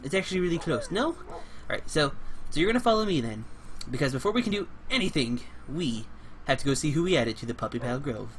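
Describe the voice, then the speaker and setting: A young man hosting a casual game let's play, playful and chatty, getting over a cold and clearing his throat now and then. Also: a little high, sing-song, fast, hardly any pauses, slight background noise. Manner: playful, chatty, talks to animals and co-player in a cutesy way. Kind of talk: casual gameplay commentary.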